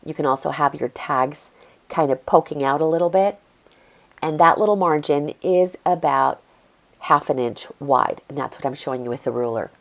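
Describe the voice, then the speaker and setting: Brief: middle-aged woman, low voice, stuffy-nosed, relaxed craft tutorial host talking while she works. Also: moderate pace. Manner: chatty, easygoing, explains as she works. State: congested from allergies. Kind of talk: step-by-step craft tutorial voice-over.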